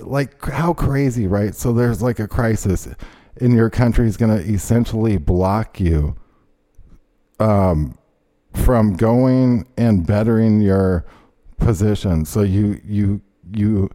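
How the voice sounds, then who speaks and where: A man's voice: lowish voice, takes it slowly, warm tone. A man hosting a talk show, ranting in a rambling, indignant way with lots of fillers. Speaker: show host. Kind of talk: ranting casual chat.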